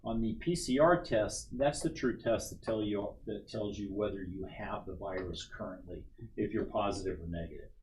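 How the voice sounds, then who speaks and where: A lower-pitched man explains in a steady, methodical way as a guest on a talk show. He keeps checking in with a quick "right?" and there is faint noise in the background. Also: low-quality recording. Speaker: company executive guest.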